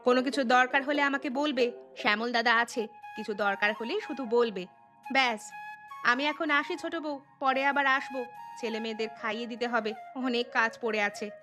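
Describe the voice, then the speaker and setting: A woman voicing a bustling, sharp-tongued but caring mother in a cartoon, with a bossy, motherly manner. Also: a little high, brisk, natural voice. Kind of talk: cartoon dialogue. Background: background music.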